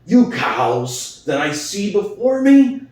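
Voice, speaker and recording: Man playing an old prize boar, fiery orator, slow deliberate delivery, wildly swinging pitch, echoey room. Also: very animated, darkish tone, heavily acted. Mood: rousing. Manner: impassioned speechmaking, rhetorical questions, swelling emphasis. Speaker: reader. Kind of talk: dramatic audiobook reading. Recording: very echoey room, far from mic.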